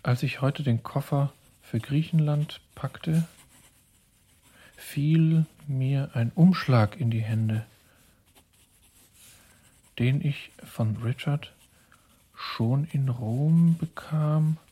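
An actor playing a guarded, soft-spoken young swindler in a German radio crime drama, speaking in a halting, measured way. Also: male voice, mid-range voice. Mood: measured dictation. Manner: slow, halting, measured delivery.

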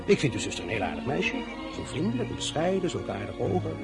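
A smooth ambitious young general. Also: male voice, fairly high pitch, wildly swooping pitch, fast, music in the background. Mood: persuasive. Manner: courteous, coaxing and self-assured. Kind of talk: 1950s Dutch radio drama acting.

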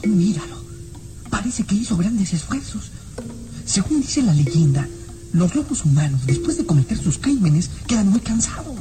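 A woman's voice: mid-range voice, very animated, normal speed, natural voice. A woman voicing a small, outspoken boy sidekick, earnest, insistent and suspicious, in a radio serial. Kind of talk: old radio serial acting. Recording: radio drama with music behind.

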